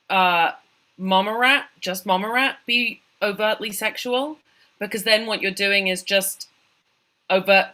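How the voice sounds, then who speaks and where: Bright-voiced woman giving tactful notes. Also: mid-range voice. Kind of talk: casual discussion.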